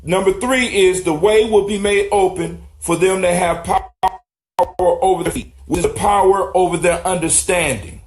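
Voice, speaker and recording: Informal preaching man, slightly high voice. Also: steady tone, moderate pace. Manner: preacherly, informal, street slang and swearing. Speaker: host of a discussion show. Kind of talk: teaching talk, reading and explaining proverbs to guests.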